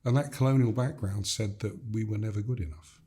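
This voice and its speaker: An older man from Gambia, a leadership coach, talking in an interview in a measured, emphatic way that builds points through repeated phrases. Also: on the low side, warm tone. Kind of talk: reflective interview conversation.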